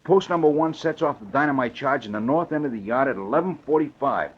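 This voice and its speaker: An actor playing a tough, plain-spoken crook, a gang underling, in 1940s radio style on a muffled old recording. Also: male voice, medium pitch, animated, fast, slightly rough. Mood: businesslike. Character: short husky red-haired crook, talkative underling.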